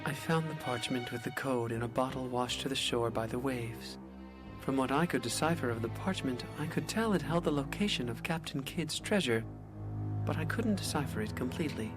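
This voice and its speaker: A man's voice: medium pitch, moderate pace, close to an everyday voice. Man playing smug, scheming villain over music. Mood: gloating. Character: smug, scheming masked villain after treasure. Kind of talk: video game character acting. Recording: music clearly behind the voice.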